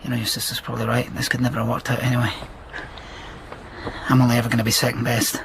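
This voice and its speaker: Male actor as hurt Scottish boyfriend, blunt, quick-spoken, gravelly, proud, radio drama, faint background noise. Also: medium pitch, completely flat delivery, a bit bright, close to an everyday voice, rough recording. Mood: bitter, wounded. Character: wounded, jealous, proud male lover in remote Scotland. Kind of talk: naturalistic radio drama acting.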